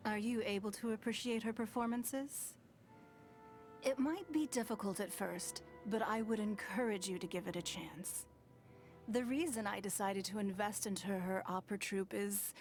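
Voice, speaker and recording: A woman's voice: mid-range voice, darkish tone, natural voice. Refined, poised game lady, acted by a woman. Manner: poised, measured, gracious. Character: refined, composed wealthy lady patron, game character.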